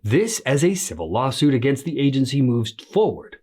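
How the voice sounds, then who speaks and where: A man's voice: mid-range voice, big pitch swings, moderate pace, warm tone, soft background music. A man hosting an online news show, reading scripted commentary in a slightly crackly voice after the flu.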